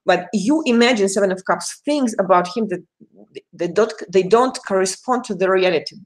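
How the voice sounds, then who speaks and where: A woman with a Russian accent hosts a casual live stream and reads tarot cards for her viewers. She chats freely, laughs often and greets people by name. Her pitch sits in the middle range. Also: normal speed. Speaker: tarot reader.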